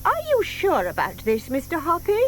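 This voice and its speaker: A woman's voice: high voice, wildly swooping pitch, normal speed. An actress playing a sweet, trusting older lady, hesitant, polite and easily puzzled, in a children's audio drama. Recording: faint hiss from old cassette tape.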